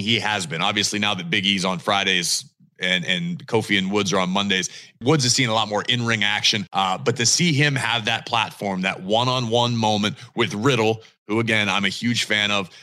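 A man hosting a wrestling talk podcast, his voice bright and forward. He talks with punchy emphasis and casual swagger, leaning into his opinions. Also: lowish voice. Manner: energetic, emphatic, swears casually. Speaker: podcast co-host.

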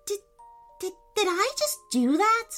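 A woman voicing an excitable young boy, an eager comic-book fan who speaks in exclamations. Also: high voice, a little slow, soft background music, pitched above the performer's own voice, full character voice. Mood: startled.

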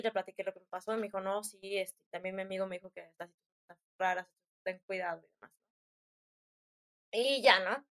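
A young adult woman with a Mexican accent telling stories casually, in a chatty, slangy way with acted-out bits of dialogue. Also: mid-range voice. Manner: chatty, informal, slangy, animated retelling with quoted dialogue. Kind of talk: casual storytelling chat.

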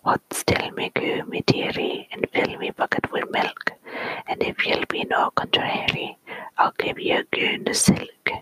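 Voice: measured pace, pushed and bright, rough recording. A storyteller voicing a wee farm boy from a folk rhyme, coaxing and exasperated, whispered with a put-on Scottish accent. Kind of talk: whispered folk tale reading.

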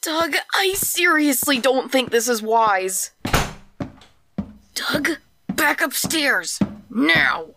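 A woman's voice: sits high, expressive, extremely slow, gravelly, pauses often, background noise, heavily acted. A woman voicing a small, wary, sensible talking tree in a fantasy audio drama, hesitant and disapproving. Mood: worried, scolding.

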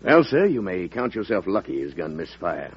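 An American man acting a proud, formal senator, prickly but courteous, in a 1940s radio drama with a husky voice. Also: low-pitched, big pitch swings, moderate pace, heavily acted. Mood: stiff, courteous. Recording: muffled old radio recording.

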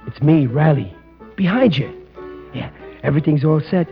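A man playing an excitable working-class family man in a 1940s radio comedy. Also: fairly high pitch, normal speed. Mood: cheerful. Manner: excitable and gravelly. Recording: dull old radio recording with music.

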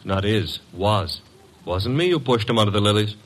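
An actor playing a young, cheeky detective's assistant in an old radio drama, flippant, slangy and streetwise. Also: male voice, fairly low pitch, lively intonation, moderate pace. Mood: breezy.

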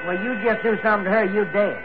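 Casting: male actor as chivalrous hothead from Texas, high-pitched folksy drawl, vintage radio adventure, muffled recording. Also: steady tone, fast, over music, lightly acted. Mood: defiant. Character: hot-tempered, chivalrous, folksy young Texan gunman sidekick. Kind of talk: 1950s radio drama acting.

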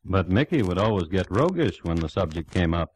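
An actor playing a droll, urbane crime-story host, narrating an old-time radio drama in a dry, wry manner. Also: male voice, sits low, sing-song, brisk, husky, full character voice.

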